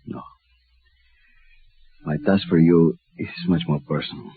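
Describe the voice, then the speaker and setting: An actor playing a dignified, dying Mexican don in a 1950s radio drama, speaking gravely and formally. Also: male voice, low-pitched, measured pace, soft background music, full character voice. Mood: solemn. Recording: muffled vintage radio recording.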